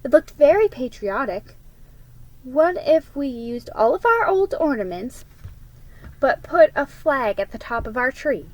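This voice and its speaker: A kindly storybook mom, as a woman reader plays her, talks in a cheerful, gentle way. Her voice is fairly high and lilts with animated pitch. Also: measured pace, heavily acted, low-quality recording. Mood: thoughtful, conciliatory.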